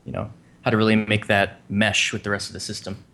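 A man with a low, gravelly voice talks easily about development work on a tech podcast. He drops in short agreements and speaks in a relaxed, informal way. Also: slight background noise. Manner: easygoing, quick short agreements. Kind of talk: casual tech podcast chat.

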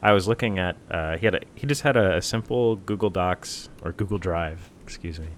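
A man co-hosting a casual tech podcast, talking in a relaxed way with many fillers and restarts. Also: sits low, brisk, noisy background. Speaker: podcast co-host, software team member.

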